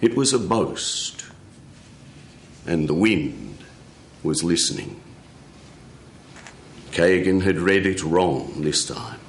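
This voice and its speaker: Slow, measured male storyteller in a roomy space. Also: low voice, very animated, gravelly. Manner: measured, dramatic pauses. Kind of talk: live storytelling of a folk tale. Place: radio studio with live audience.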